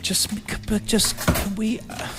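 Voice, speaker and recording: Man playing a needy, halting, apologetic boyfriend. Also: high voice, little pitch movement, music in the background. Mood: pleading. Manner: halting, rambling, self-correcting. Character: needy, hurt, apologetic human boyfriend being dumped. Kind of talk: naturalistic improvised-sounding audio drama.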